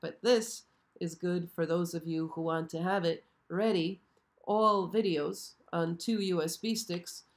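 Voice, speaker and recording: Hoarse woman hosting a live chat. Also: on the low side, fairly even intonation, measured pace. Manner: chatty, frequently checks in with "okay?". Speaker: show host. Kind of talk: casual live-stream chat. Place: show set.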